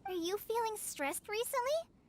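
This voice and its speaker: A woman voicing an earnest, gentle, shy teenage girl in an anime video game. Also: extremely high pitch, sing-song, moderate pace, a little hiss or hum. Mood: earnest concern.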